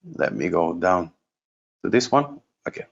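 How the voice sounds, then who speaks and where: A man giving an unscripted software demonstration as a trainer, explaining step by step and thinking aloud in a halting way. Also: sits low, very animated, vocal fry, highs cut off. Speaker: trainer presenting a product course.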